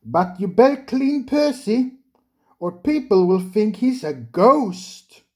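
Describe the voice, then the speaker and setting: A storyteller voicing a brisk, helpful male railway signalman who chides kindly. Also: medium pitch, big pitch swings, warm tone, voice pushed up.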